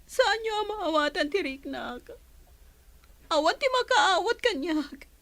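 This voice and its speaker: Woman acting a very shrill, tearful young woman. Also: expressive, unhurried, fairly bright tone, heavily acted. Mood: anguished. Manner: anguished, pleading. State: crying. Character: distraught, tearful young woman, wounded and withdrawn. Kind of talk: village radio drama acting.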